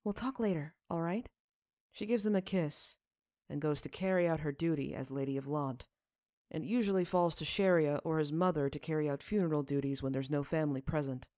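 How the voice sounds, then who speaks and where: A woman's voice: low voice, brisk, warm tone, no echo, studio quality. A woman reading fiction aloud as a narrator, in audiobook style.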